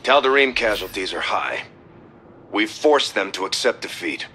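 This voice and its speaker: Fleet commander, game cinematic, very low, animated, gruff military reports. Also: male voice, normal speed, slight background noise. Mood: concerned. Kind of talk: video game cutscene acting.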